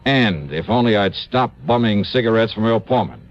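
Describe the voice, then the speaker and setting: Actor as low-voiced American radio detective. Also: male voice, expressive, moderate pace, no room sound, close to an everyday voice. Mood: wry narration. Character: cool, sharp freelance insurance investigator, narrating his own case. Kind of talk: 1950s radio detective drama acting. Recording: dull old radio recording with music.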